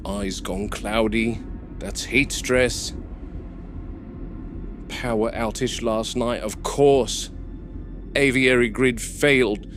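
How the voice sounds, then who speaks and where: A man playing a weathered game warden who speaks tersely, quiet and subdued when upset. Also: mid-range voice, fairly even intonation, slow pace, long pauses, music in the background, natural voice. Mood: grim. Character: weathered male park game warden, grim, practical. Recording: noisy, with crickets and insects behind.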